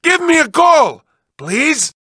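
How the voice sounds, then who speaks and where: A manic cartoon mad scientist, piercingly sharp and very high. He draws his words out slowly and theatrically. He is a whiny, lovelorn game villain who swings from cooing to wailing. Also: big pitch swings, no room sound, clean studio sound, exaggerated voice. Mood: theatrical, sarcastic. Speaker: video game villain reading developer messages. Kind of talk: exaggerated comic cartoon voice acting.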